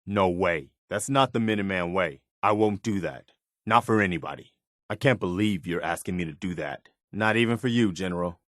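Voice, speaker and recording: A man's voice: low-pitched, a little fast, fairly bright tone, no room sound, clean studio sound. A man playing an earnest, principled militia soldier companion in a video game, loyal and battle-weary, speaking direct military phrasing in scripted dialogue. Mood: indignant, firm.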